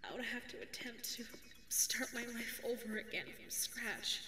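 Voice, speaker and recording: A woman's voice: on the high side, fairly even intonation, normal speed, bright, forceful tone, a little hiss or hum. A woman voicing a sweet, anxious female dog secretary with a crush, speaking hesitantly in an ASMR roleplay. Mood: anxious dread. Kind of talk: ASMR audio roleplay monologue. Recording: echoey reverberant sound with background music. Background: music.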